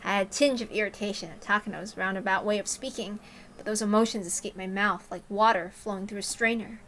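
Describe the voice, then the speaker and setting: Bright-voiced woman reading a story aloud. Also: mid-range voice, fast talker, slight background noise, poor audio. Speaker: narrator reading a visual novel aloud. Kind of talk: expressive story narration with casual asides.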